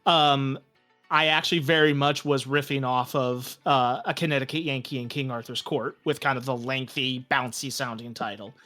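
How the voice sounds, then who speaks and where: A man's voice: medium pitch, steady tone, normal speed, pushed and bright, faint music behind. A man speaking as an interview guest, chatting in a reflective, conversational way. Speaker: game master, podcast creator and guest. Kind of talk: casual interview chat.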